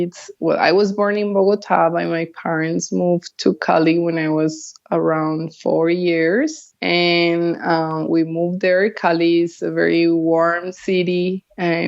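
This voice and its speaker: A woman from Colombia with a Colombian accent, speaking as a guest artist in a casual interview, pausing often as she searches for words. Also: on the low side, little pitch movement, creaky, dull audio. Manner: hesitant, searching for words with many pauses.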